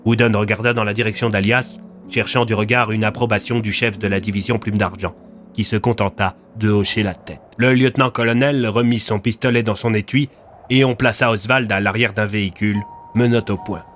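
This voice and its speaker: A man's voice: on the low side, fairly even intonation, brisk, fairly bright tone. A man narrating a scripted audio fiction story in a dramatic storytelling style. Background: music.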